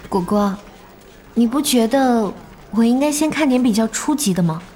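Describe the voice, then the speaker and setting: A woman's voice: a little high, lively intonation, moderate pace, noisy background, lightly acted. A woman acting a polite, easygoing young gaming learner in an audio drama, speaking in an unfussy way. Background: music behind the voice.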